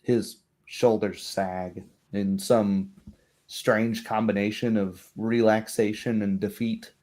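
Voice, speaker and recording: Brief: man, tabletop game master, slightly low pitch, unhurried descriptive narration with hesitations. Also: level tone, a little mellow.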